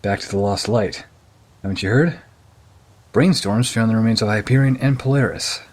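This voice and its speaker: A man playing a practical, slightly exasperated robot crewmate in an audio drama, husky and casual. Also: lowish voice, moderate pace, lightly acted. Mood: mildly exasperated.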